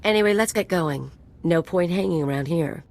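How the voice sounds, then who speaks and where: A woman's voice: fairly low pitch, normal speed, a little mellow, close to an everyday voice. Sardonic actress as roguish female mercenary. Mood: teasing. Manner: sardonic, teasing, flippant. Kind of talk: video game dialogue acting.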